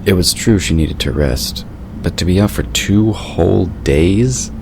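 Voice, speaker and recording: A man's voice: deep voice, expressive, unhurried. A man reading scripted story narration for an audio drama. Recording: clearly noisy background.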